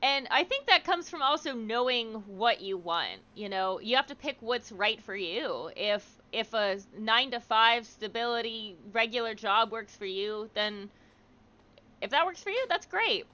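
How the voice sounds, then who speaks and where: A woman's voice: on the high side, expressive, measured pace, pushed and bright, highs cut off. A woman hosting a livestream, chatting casually and encouragingly with viewers and reading their comments aloud. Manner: encouraging, supportive. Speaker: livestream host.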